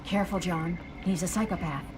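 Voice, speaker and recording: An actress playing a concerned doctor on a space base in an audio drama. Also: female voice, lowish voice, fairly even intonation, fairly quick, background noise, natural voice. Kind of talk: 1970s audio drama acting.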